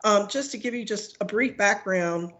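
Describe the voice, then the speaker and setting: Hoarse woman giving testimony. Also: mid-range voice, fairly bright tone. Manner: measured, read-out delivery with frequent "um". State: hoarse from a cold. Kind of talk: prepared testimony at a legislative hearing. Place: legislative committee room.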